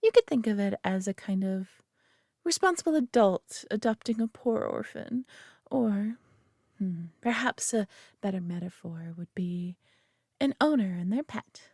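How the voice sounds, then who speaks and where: Woman voicing a prideful alien woman, possessive, condescendingly caring, unhurried, breathy whispers, close dry sound, roleplay audio drama. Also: mid-range voice, animated, darkish tone, dry recording. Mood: condescending, soothing. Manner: condescending, coaxing, slow and deliberate, dropping into breathy whispers. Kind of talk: scripted roleplay audio drama addressed to the listener.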